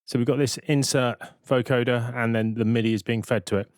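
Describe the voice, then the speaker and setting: A man giving a casual, matter-of-fact software tutorial as a plain-spoken voice-over. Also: mid-range voice, fairly even intonation, brisk, dry recording, clean studio sound. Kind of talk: casual tutorial narration over screen recording.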